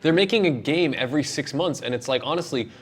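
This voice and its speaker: A man hosting a casual panel chat about games and movies, speaking in an animated, opinionated, emphatic way. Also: a little high, fast. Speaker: show host. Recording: clear background noise.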